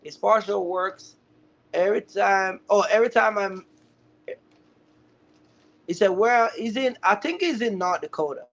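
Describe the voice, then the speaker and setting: A man's voice: sits high, a little slow, creaky, pauses often, fairly bright tone. A man hosting a casual livestream, teaching viewers in an emphatic way and often checking that they follow. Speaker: livestream host, trucking business mentor. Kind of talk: casual live chat to viewers. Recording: dull, lo-fi live stream audio.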